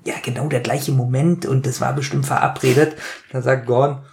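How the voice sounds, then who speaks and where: A man's voice: medium pitch, steady tone, moderate pace, gravelly, a little mellow, slight background noise. A man co-hosting a podcast, chatting casually and giving commentary, often cutting in with banter. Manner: interjecting, bantering. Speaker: podcast co-host. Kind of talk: casual podcast chat, commentary on an audio drama.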